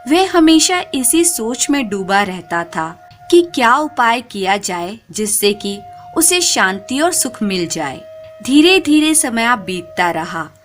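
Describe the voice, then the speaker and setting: Slow, lively-pitched woman storyteller. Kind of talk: scripted narration of a moral folk tale.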